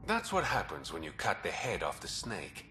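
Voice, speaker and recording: An actor playing a secretive man who brokers information in a port, confiding and smooth. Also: sits low, wildly swooping pitch, normal speed, bright, forceful tone, faint music behind, full character voice. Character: shadowy male underworld information broker.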